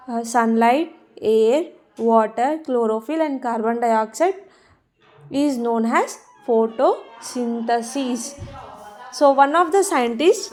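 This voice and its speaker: A woman's voice: fairly high pitch, a little mellow. A woman with a South Indian accent giving a biology lesson in a didactic, emphatic way, repeating key terms. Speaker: biology teacher. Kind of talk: classroom lecture, explaining definitions.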